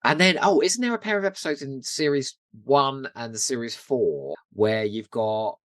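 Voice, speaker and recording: Animated British man chatting on a podcast. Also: mid-range voice, moderate pace, dry recording. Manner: animated, giggly, gushing enthusiasm. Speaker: podcast co-host. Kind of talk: casual pop-culture chat.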